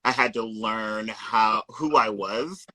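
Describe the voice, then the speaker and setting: A man's voice: on the low side, slow, bright, forceful tone, low-quality recording. A man from Kansas, a yoga teacher, speaking as a guest in an easygoing conversation. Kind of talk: casual conversation.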